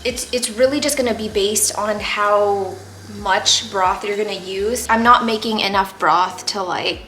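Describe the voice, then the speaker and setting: A woman's voice: medium pitch, a bit bright, slight background noise. A young woman from California hosting a casual cooking tutorial, chatty and rambling with lots of fillers, recorded in a home kitchen. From San Jose, California. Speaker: cooking video host. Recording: echoey kitchen with cooking clatter. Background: pots, dishes and knife clicks.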